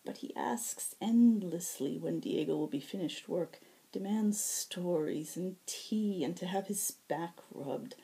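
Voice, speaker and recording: A woman voicing a weary, long-suffering scaly male fae caretaker, soft-spoken and formal, in an audiobook story. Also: fairly low pitch, slow, voice pushed up, rough recording. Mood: exasperated. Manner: polite, formal phrasing.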